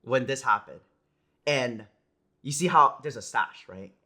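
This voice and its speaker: Bright-voiced young American man chatting. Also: medium pitch, unhurried. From United States. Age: young adult. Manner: relaxed, rambling, many "like"s and "you know"s. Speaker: esports player guest. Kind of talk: casual interview chat.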